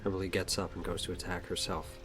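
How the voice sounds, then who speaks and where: A man's voice: low voice, flat delivery, fast talker, fairly bright tone, soft background music. A man narrating a scripted audio drama, describing the action as the story's narrator.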